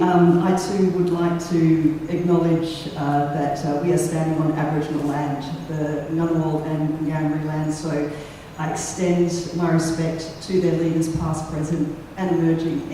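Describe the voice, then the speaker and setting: Middle-aged storyteller, mellow tone, echoey hall, distant mic. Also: medium pitch, slight background noise. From Western Australia and Fiji. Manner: conversational, many ums, pauses, reflective. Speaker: radio talent manager giving a talk. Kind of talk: personal storytelling talk. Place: lecture room.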